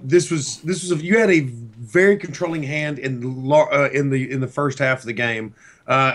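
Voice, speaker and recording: A man co-hosting a podcast who asks rambling, long-winded questions and often restarts his sentences. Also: mid-range voice. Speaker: podcast co-host. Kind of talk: casual podcast interview.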